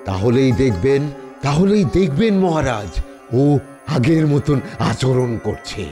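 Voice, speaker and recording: A man voicing a pedantic, deferential royal physician in an animated comedy, halting and solemnly theatrical. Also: mid-range voice. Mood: solemn explaining. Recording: background music.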